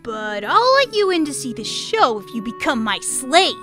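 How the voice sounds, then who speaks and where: Woman voicing a squeaky, brash little rat boy. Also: sing-song, moderate pace, bright, forceful tone, faint music behind, heavily acted. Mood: bossy, scheming. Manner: cheeky, bossy, slangy. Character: brash, impish young rat boy, pushy street kid. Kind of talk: theatrical audiobook character acting.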